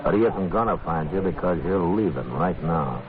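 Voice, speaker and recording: An extremely low-voiced American lawman from a 1950s radio western. He is stern, steady and terse, giving orders in a firm deadpan. The sound is a narrow, crackly vintage broadcast. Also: normal speed, crowd noise. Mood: stern warning. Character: stern, steady frontier town marshal, a man.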